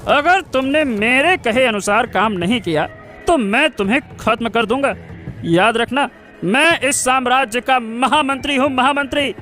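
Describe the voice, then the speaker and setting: Cartoon villain: scheming chief minister, very high pitch, menacing, self-important, drawn-out delivery. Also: male voice, lively intonation, pushed and bright, faint music behind, exaggerated voice. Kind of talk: Hindi cartoon story voice acting.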